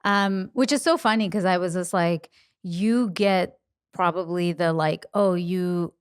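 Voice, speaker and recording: A woman's voice: fairly low pitch, unhurried, clean tone, no echo, studio quality. A young woman hosting a podcast, talking candidly and chattily with lots of asides. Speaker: podcast host. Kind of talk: casual interview chat.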